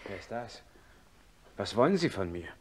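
An actor playing a stubborn, imperious millionaire in a German radio play, who stammers when shaken and argues in disbelief. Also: male voice, on the low side, very animated, normal speed. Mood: indignant.

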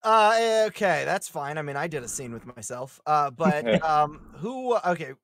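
A woman's voice: deep voice, very animated, measured pace, no echo, clean studio sound. A woman casually organising an online table read and handing out parts to the group. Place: indoors, on a group video call.

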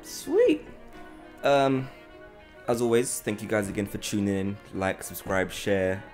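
A young man hosts a casual podcast. His pitch moves around a lot and he repeats short phrases for emphasis. Music plays clearly behind his voice. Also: huge pitch swings, measured pace, a little mellow. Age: young adult. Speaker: podcast host. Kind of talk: casual chat. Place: large bright living room, indoors. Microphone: podcast mic on boom arm.

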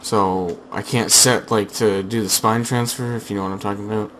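A young man casually chatting over his gameplay through a headset, slightly hoarse, rambling with fillers and restarts. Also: fairly low pitch, fairly even intonation, moderate pace, fairly bright tone, a little hiss or hum. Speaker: gaming channel host.

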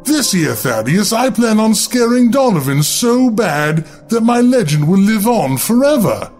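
A man's voice: sits high, big pitch swings, measured pace, darkish tone, faint music behind, voice pushed up, full character voice. A man voicing a boastful, mischievous male ghost in a horror storybook, cocky and theatrical.

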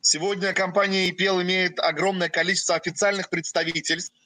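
A young man from Russia leads a company webinar, pausing after each short phrase for his interpreter. His voice sits high for a man and sounds bright and forward. The sound is close and dry, with no room around it. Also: level tone, no room sound. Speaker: company president. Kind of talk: webinar presentation.

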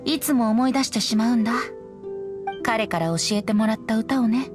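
A woman voicing a youthful, boyish wind spirit bard in an anime game, gentle and wistful, with lyrical storytelling phrasing. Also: on the high side, normal speed, over music, lightly acted. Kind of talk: anime video game voice acting, poetic narration.

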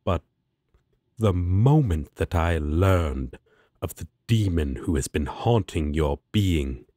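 Male actor as solemn angel warrior, very slow delivery, low voice, grandiose, protective, fantasy audio roleplay. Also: expressive, warm tone, dry recording, studio quality, heavily acted. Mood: solemn, reassuring. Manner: formal, archaic, grandiose phrasing.

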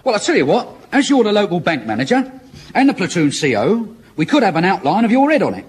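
An actor playing a cheeky young male wartime spiv, always pitching a deal, in an old radio comedy. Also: huge pitch swings, quick pace, a little hiss or hum. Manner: cheeky, wheeling-dealing. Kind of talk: 1970s radio comedy acting.

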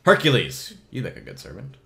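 A man's voice: mid-range voice, huge pitch swings, a little fast, gravelly, crowd noise. A man hosting a casual let's play, joking crudely and swearing his way through the game commentary. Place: hot indoor room.

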